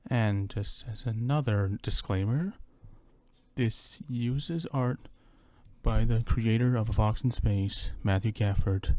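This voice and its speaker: A man's voice: fairly low pitch, slow pace, warm tone, no echo. A man reading a scripted first-person story as a dramatic narrator, with theatrical storytelling delivery. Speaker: narrator reading his own horror story. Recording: music under the voice. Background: music.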